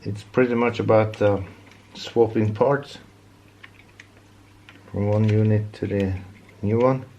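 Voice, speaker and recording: A Norwegian man speaking English as a second language, talking casually while working on a repair, with halting speech full of restarts and fillers. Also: on the low side, steady tone, mellow, a little hiss or hum. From Norway. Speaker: DIY repair vlogger.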